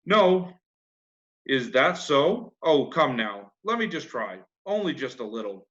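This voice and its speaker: A man's voice: medium pitch, very animated, takes it slowly, a bit bright, dull audio. A man reading a children's classic, voicing a boastful, folksy young boy who barks orders and teases. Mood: eager, pleading. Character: boastful young boy playing a steamboat captain.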